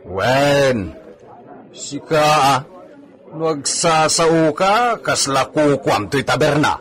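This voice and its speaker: A man's voice: a little high, lively intonation, extremely slow, fairly bright tone, heavily acted. A man playing a cheeky, boastful village horseman with an Ilocano accent in a comedy radio drama, chuckling and talking to himself. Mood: teasing, nosy.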